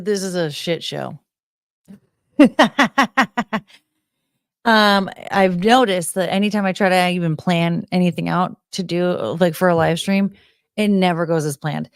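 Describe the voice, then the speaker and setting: A woman's voice: on the low side, a little slow, vocal fry, long pauses, smooth, no echo, studio quality. An American woman hosting a live stream, chatting in a rambling, unfiltered way with casual swearing. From United States.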